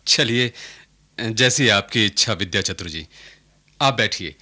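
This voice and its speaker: An actor playing a trusting, genial head of a household in a radio drama, effusive and courteous. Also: male voice, fairly low pitch, animated, a little slow, bright, forceful tone, heavily acted. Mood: courteous welcoming. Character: trusting, genial, hospitable family patriarch. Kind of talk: Hindi radio drama acting.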